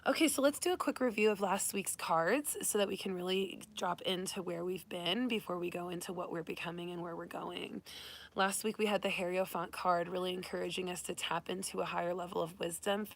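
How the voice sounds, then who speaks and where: Hoarse woman with a gravelly, flat voice. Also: fairly low pitch, a little fast. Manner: upbeat, spiritual, enthusiastic. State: nearly lost voice, hoarse. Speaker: tarot reader and channel host. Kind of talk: casual livestream reading. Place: Arizona.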